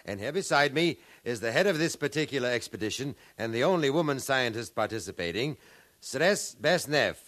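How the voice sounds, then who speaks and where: Bright, forceful radio correspondent played by a man. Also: medium pitch, animated, a little fast, no echo, lightly acted. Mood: formal, announcing. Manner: formal, announcer-like. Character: brisk, formal radio correspondent on location. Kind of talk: 1950s radio newscast acting.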